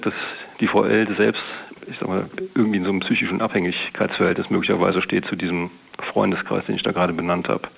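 A man speaking as a police detective, recounting an investigation off the cuff in colloquial, winding sentences with a creaky, gravelly voice. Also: fairly low pitch. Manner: matter-of-fact, colloquial, long winding sentences. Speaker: police detective being interviewed. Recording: dull, band-limited interview recording.

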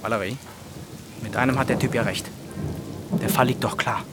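A man's voice: mid-range voice, fairly even intonation, moderate pace, heavy background noise, close to an everyday voice. A man playing a dry, placating police investigator with a matter-of-fact manner in a radio crime drama.

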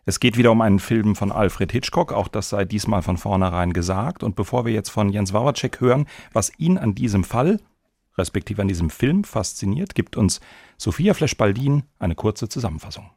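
Male podcast host, lower register, presenting from script in a dry studio. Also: lively intonation, moderate pace, darkish tone, no echo.

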